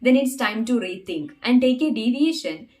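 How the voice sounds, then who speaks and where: A woman's voice: a little high, expressive, moderate pace, a little mellow. A young adult woman whose first language is not English, earnestly teaching English learners and repeating phrases to stress her points. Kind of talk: talking-head English learning lesson.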